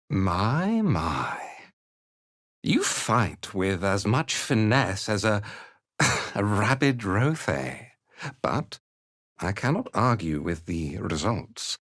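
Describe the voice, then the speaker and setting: A haughty, cold cult commander from a video game. The delivery is slow and condescending, with formal phrasing and a wide, expressive swing in pitch. The sound is dry and close. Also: no room sound, exaggerated voice. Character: haughty, cold cult commander, disdainful slave-driver and zealot. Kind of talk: video game character dialogue.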